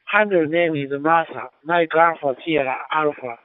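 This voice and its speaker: A man with a Japanese accent speaking halting, polite English as an amateur radio operator over a digital two-way radio, often repeating himself. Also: on the high side, fairly even intonation, dry recording. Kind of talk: formal radio contact exchange. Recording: tinny narrowband digital radio transmission.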